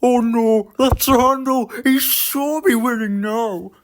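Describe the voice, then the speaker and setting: A man voicing a whiny, panicky male talking car with a high, strained, whimpering voice in a storybook reading. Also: big pitch swings, slow pace, a little hiss or hum, heavily acted. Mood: fretful. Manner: whining and pleading.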